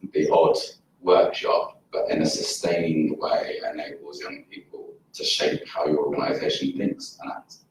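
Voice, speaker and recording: A man from a campaign group asking a panel a question from the floor, measured and articulate. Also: a bass voice, a little mellow, a little hiss or hum. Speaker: audience member, campaigner. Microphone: handheld microphone. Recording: echoey hall, distant mic.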